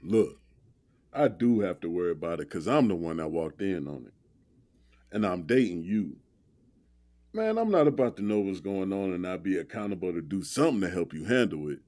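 A man's voice: deep voice, very animated, normal speed, darkish tone. An actor playing a protective, street-smart young man in an audio drama, speaking in slangy, assertive dialogue. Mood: firm, insistent.

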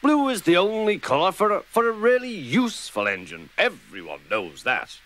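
A man's voice: medium pitch, sing-song, slow pace, noisy background. A man voicing a cheeky, proud male tank engine in a children's story, huffy and boastful. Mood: smug huffing.

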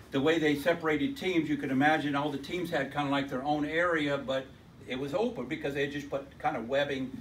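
A man with a loud voice giving an informal technical talk at a meetup, rambling and restarting his sentences. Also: fairly high pitch, distant mic, slight background noise, low-quality recording. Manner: rambling, with many restarts and repeated words. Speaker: conference speaker. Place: lecture room at a tech meetup. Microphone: handheld mic, often held away from the mouth.

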